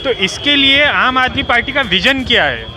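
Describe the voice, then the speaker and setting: Polite male reporter, high voice. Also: animated, background noise. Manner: polite, slow probing questions. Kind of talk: street interview.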